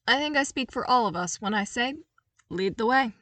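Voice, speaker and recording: A woman's voice: a little high, animated, moderate pace, sharp tone, no room sound, voice pushed up. A woman voicing a dutiful male military commander, formal and firm, in a fan fiction audiobook.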